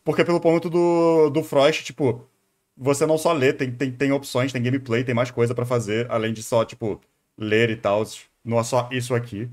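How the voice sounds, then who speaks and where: A man's voice: mid-range voice, flat delivery, moderate pace, a little mellow. A man with a Brazilian accent chatting casually as a streamer reacting to a video, slangy and joking. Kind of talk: casual reaction chat, talking to viewers.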